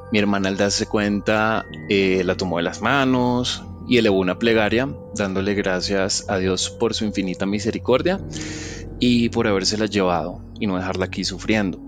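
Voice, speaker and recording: A man tells a personal story in a soft, reflective, halting way. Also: on the low side. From Venezuela.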